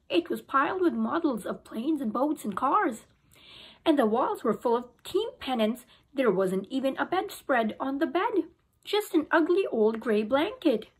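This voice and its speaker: High-voiced young woman reading a children's story. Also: slightly rough, poor audio. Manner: expressive storytelling, occasional restarts. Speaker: teacher. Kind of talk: children's book read-aloud. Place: small room at home. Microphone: phone camera held close.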